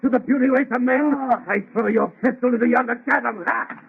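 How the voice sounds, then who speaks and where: A man's voice: very high voice, steady tone, vocal fry, husky, narrow, tinny audio, heavily acted. A man playing a vengeful man-made monster in old radio horror, declaiming grandly and with merciless menace. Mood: menacing triumph. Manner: declamatory, menacing, drawn-out delivery. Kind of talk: 1930s radio horror acting. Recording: band-limited, noisy old radio recording.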